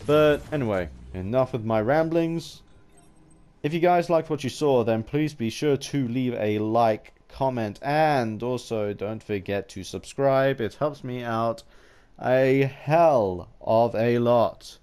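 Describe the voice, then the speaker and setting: A man giving an unscripted opinion ramble as a gaming vlogger, halting and often restarting his phrases. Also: mid-range voice, a little mellow, smooth.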